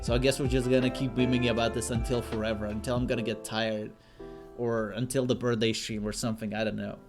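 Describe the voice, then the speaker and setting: A man's voice: mid-range voice, level tone, quick pace. Bantering male streamer on a call. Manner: bantering, swears, quotes others in voices. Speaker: guest streamer. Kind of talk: casual chat over a call. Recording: voice call with lofi music behind. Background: lofi music.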